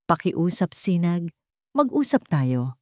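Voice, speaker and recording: A woman's voice: lowish voice, sing-song, takes it slowly, dry recording, clean studio sound. A woman voicing a remorseful wealthy man in a romance story, pleading and confessing dramatically. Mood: urgent pleading.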